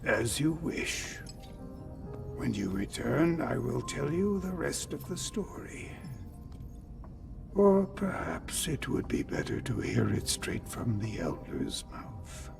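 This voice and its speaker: A solemn, secretive sage from a video game. His voice creaks and moves slowly, with wide dramatic swings in pitch. It sits a little far off beneath loud music. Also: big pitch swings, heavily acted. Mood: cryptic, calm. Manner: slow, measured, solemn, dramatic. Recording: distant voice under loud music.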